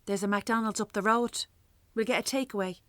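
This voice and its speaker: A woman with an Irish accent playing a weary, sharp-tongued working-class mother in a radio drama, wry and blunt. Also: medium pitch, steady tone, a little fast, no room sound, lightly acted. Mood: practical. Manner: wry, blunt, earthy talk. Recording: clearly noisy, traffic and ambience behind.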